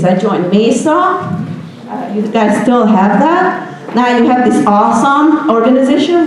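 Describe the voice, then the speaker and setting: A woman's voice: high-pitched, slight background noise. A woman in her thirties from Hungary with a Hungarian accent, giving an earnest motivational talk to students and asking them questions. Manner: earnest, asks the audience questions. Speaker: guest speaker. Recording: echoey room recording.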